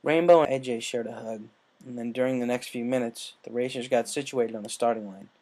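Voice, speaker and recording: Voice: medium pitch, brisk, a little mellow. A narrator reading fan fiction aloud as scripted storytelling. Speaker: story reader.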